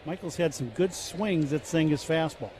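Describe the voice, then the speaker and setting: A man giving relaxed, rambling baseball color commentary on the radio, full of fillers, speaking as a former player. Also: a little high, normal speed, slight background noise. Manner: rambling, filler-heavy analysis.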